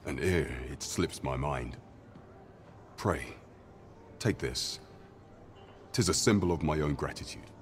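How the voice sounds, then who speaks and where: Very deep, grave knight captain. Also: male voice, animated, a little hiss or hum, exaggerated voice. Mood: earnest. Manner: formal, measured, courtly. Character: grave, loyal knight captain advising his sovereign. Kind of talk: scripted fantasy game acting in archaic English.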